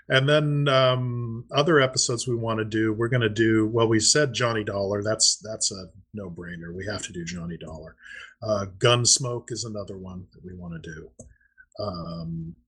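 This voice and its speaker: A man's voice: lowish voice, a bit bright, breathy edge. A man co-hosting a podcast in casual chat, explaining things and thinking aloud. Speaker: podcast co-host.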